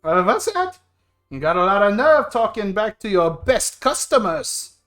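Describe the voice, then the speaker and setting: A loud, protective big brother from a game, acted out by a man, fond of drink and quick to argue. His pitch swings widely and he boasts freely. Faint music plays behind him. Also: very animated, heavily acted. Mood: drunken, demanding.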